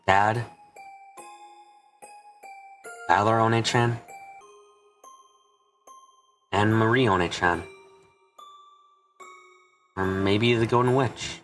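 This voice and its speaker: A man's voice: on the low side, a bit bright. A man voicing a guarded, melancholy young woman in a fan-dubbed visual novel, hesitant and brooding, over music. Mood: somber, wistful. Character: guarded, melancholy young woman seeking her lost family. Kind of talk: fan-dub visual novel character acting.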